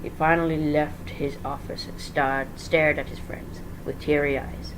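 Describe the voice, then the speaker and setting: Casting: amateur story narrator, middle-pitched, informal, prone to stumbles and self-corrections, slightly noisy recording. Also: flat delivery, a little slow. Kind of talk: amateur dramatic story reading. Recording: faint background noise.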